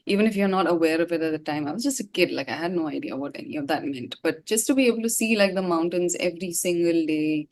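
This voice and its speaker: A woman from Gangtok, India, speaks in a slightly low-pitched voice. She chats casually as an interview guest, rambling a little in an informal, reflective way. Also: quick pace, hardly any pauses, darkish tone, a little hiss or hum.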